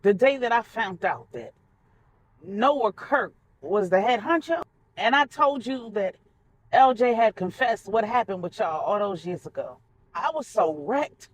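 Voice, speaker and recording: A woman's voice: on the high side, big pitch swings, unhurried, breathy edge, heavily acted, poor audio. A woman voicing a tearful, insecure divorced woman in a story, plaintive and confessional. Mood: pleading.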